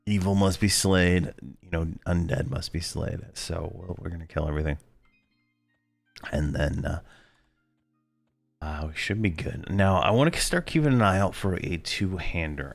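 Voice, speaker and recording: Very deep-voiced hoarse man narrating gameplay. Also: no room sound. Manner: rambling, filler-heavy, laid-back. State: hoarse, losing his voice. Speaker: game video host. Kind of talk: casual gameplay commentary.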